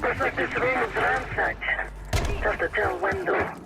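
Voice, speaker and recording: A distant, gravelly operative in a video game. He is high-pitched and practical, nearly buried in loud rumbling noise. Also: a little slow. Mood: wary, matter-of-fact.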